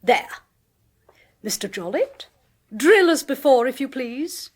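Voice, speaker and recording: An actress playing a bossy, imperious spinster who works as a medium, giving commands in a grand and impatient way in a radio play. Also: female voice, high voice, very animated, slow pace, husky, full character voice. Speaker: medium and clairvoyant.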